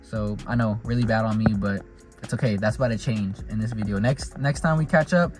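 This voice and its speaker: A man's voice: fairly low pitch, brisk, music in the background. A man casually narrating a car vlog in a laid-back, rambling way with plenty of slang, recorded in and around his car at home. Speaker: car vlogger.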